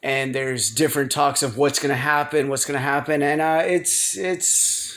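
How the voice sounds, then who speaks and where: An American man rambling casually to viewers in a vlog, halting often, repeating himself and circling back. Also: on the high side, steady tone, fairly bright tone. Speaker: video blogger.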